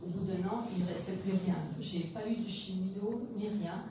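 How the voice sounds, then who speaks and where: A woman's voice: mid-range voice, moderate pace. A woman in the audience giving an earnest, grateful comment with many fillers. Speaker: audience member. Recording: echoey room from far off, noisy.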